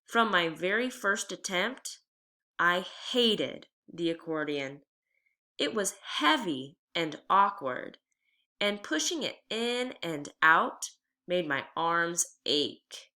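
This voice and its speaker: A woman reading a children's memoir aloud like a teacher, with expressive, deliberate storytelling delivery. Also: fairly low pitch.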